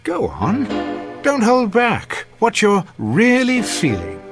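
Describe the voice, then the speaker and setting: An actor playing a suave, manipulative middle-aged husband, urbane and theatrical, in an old-time radio drama. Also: male voice, sits high, wildly swooping pitch, slow pace, over music, exaggerated voice. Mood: goading. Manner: pompous, theatrical, urbane, goading.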